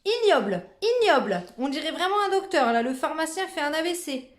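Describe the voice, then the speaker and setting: A young woman talks casually and playfully in a fairly high voice, with slang and the odd swear word. Her voice rings in a noticeably echoey room. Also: very animated, a little fast. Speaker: fellow vlogger. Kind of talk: casual chat.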